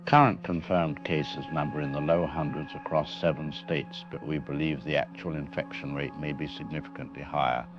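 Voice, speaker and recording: A man playing a frank, grave disease-control doctor giving a measured, clinical briefing in an audio drama. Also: a bass voice, fairly even intonation. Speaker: expert interviewed on radio. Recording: dull lo-fi radio sound, music behind.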